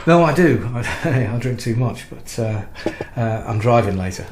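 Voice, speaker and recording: A man's voice: mid-range voice, expressive, a little slow, lightly acted. Man acting hesitant, polite husband, echoey room. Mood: uneasy. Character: awkward, evasive, polite husband. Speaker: actor in radio drama. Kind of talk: naturalistic radio drama acting. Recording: roomy echo with faint noise.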